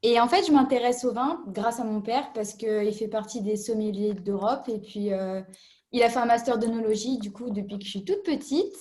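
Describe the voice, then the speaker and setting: A young woman from French Guiana with a slightly high voice. She introduces herself casually on a video call, like a student among older alumni. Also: moderate pace, darkish tone. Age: early 20s. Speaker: engineering student.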